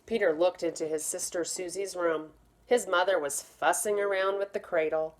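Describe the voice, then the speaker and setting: A woman teacher reading a story aloud to young children, speaking to them directly in a gentle, expressive way. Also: on the low side, normal speed.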